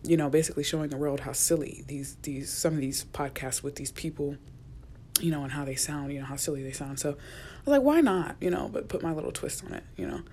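A young woman, a college student, rambling in a casual solo podcast, chatty and often dropping to a near whisper, recorded in a quiet university office. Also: low voice, animated, fairly quick, slight background noise. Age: young adult. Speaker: podcast host.

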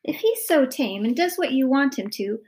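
An exasperated storybook dad, played by a woman, stern and fed up with his noisy household. The voice is loud and pitched fairly high, with big swings as the patience runs out. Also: animated, normal speed. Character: exasperated shouting father of a noisy family.

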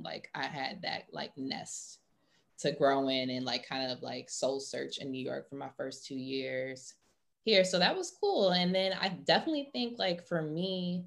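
A young adult woman with a notably low voice. She talks in an informal, conversational way, thinking through her answers with plenty of "like" as she goes. She comes across as a guest in a relaxed interview. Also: creaky. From Atlanta, US. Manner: informal, heavy use of "like". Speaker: interview guest, sports marketing professional.

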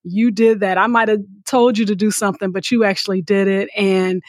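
A woman hosting a podcast, speaking in an effusive, gracious and deliberate way. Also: medium pitch, level tone, darkish tone, no room sound, clean studio sound. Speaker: podcast host. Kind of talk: warm interview hosting with sign-offs.

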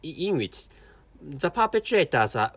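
A tired Japanese man talks alone in English with a clear Japanese accent, stopping and restarting his phrases again and again. His pitch rises and falls a lot from line to line. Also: sing-song, a bit bright, no echo. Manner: halting, many restarts and repeated words. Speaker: podcast host. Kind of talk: casual solo monologue.

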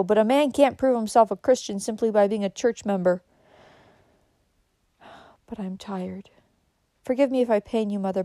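Storybook role: dying young woman, embittered and weary, anguished drawn-out delivery, performed by a female narrator, mellow tone, very dry sound. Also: mid-range voice, no echo, lightly acted.

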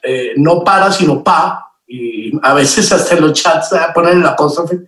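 A man's voice: fairly high pitch, a little slow, fairly bright tone. A man chatting casually as an actor guest in an interview, explaining things. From born in Mexico, grew up with Colombian and Mexican vocabulary. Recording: roomy, echoey sound.

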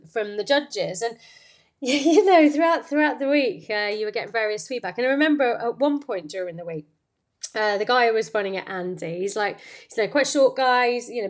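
A woman hosting a podcast, talking through a motivational story in an unscripted, rambling way full of fillers and restarts. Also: fairly high pitch. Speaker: podcast host.

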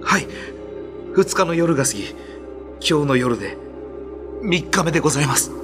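A man playing a humble, worried older brother seeking help in a radio drama, earnest and very deferential in his husky speech. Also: on the high side, very animated, normal speed, music in the background. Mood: anxious. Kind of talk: Japanese radio drama acting.

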